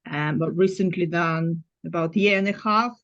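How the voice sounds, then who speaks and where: A woman's voice: on the low side, normal speed. A woman with a Ukrainian accent introducing herself as a retrofit assessor on a webinar video call, speaking in a direct, matter-of-fact way. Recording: muffled video call audio.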